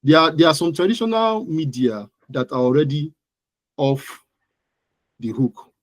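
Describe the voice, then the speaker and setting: Man, Nigerian accent, higher-pitched voice, very dry sound, interview guest, explanatory, emphatic, repeats key words. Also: sing-song, measured pace, no room sound. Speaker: guest digital marketing expert.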